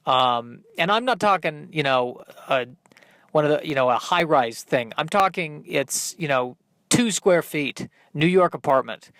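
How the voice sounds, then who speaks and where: A man hosting a solo comedy podcast, rambling and thinking aloud in a halting, self-deprecating way. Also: a little high, lively intonation, a bit bright. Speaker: podcast host working out stand-up comedy bits.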